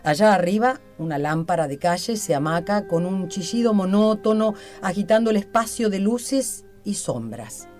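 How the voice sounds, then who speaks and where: Casting: radio host, theatrical and literary delivery, mid-range pitch, music under the voice. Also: expressive, normal speed, hardly any pauses, darkish tone. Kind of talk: theatrical scripted reading and interview hosting.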